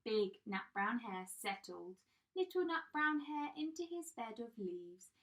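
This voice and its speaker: A woman reading a bedtime story to young children like a teacher, coaxing them gently with an animated delivery, recorded some way from the mic. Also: a little high, a little slow, a little hiss or hum. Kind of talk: children's storytime read-aloud with questions to listeners. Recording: voice distant from the mic.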